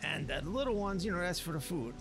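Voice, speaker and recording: A man with a gravelly voice, playing a streetwise storyteller in rambling, slangy talk over gameplay. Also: sits high, animated, a little fast. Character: streetwise storyteller recounting a local legend. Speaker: let's player narrating a roleplay story. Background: game music.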